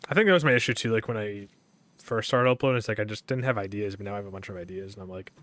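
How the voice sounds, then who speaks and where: Rambling low-voiced young man streamer. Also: rapid-fire, a bit bright, dry recording. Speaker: gaming streamer. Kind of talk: casual rambling stream commentary.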